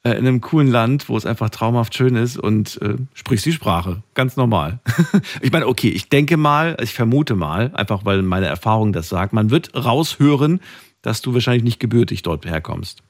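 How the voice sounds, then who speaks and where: A man hosting a late-night radio call-in show in German, chatty and given to laughing with his callers. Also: normal speed. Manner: chatty, laughs often, lively pitch swings. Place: radio studio.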